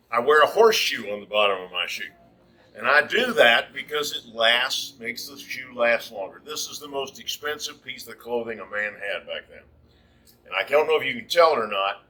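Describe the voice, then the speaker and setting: A man's voice: medium pitch, sharp tone. A man from Virginia in costume gives a chatty lecture to an audience, speaking without a microphone in his own big voice. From Virginia, USA. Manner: chatty and explanatory, with frequent restarts. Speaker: costumed shanty performer and lecturer. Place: indoor event hall. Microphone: no microphone, picked up from across the room. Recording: distant room recording.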